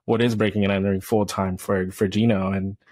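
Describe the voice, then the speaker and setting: A man's voice: deep voice, level tone, fairly quick, a little mellow, no room sound. A young man hosting a casual podcast interview, asking loose, hesitant questions padded with fillers. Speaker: podcast host. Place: small indoor room, on a couch. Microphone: handheld microphone.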